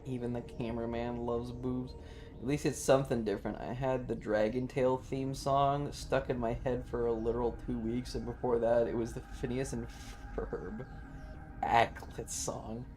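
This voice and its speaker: A man's voice: medium pitch, level tone, normal speed, a little mellow. A man livestreaming a video game with casual, irreverent, playful joking commentary and swearing. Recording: game music behind. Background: video game music.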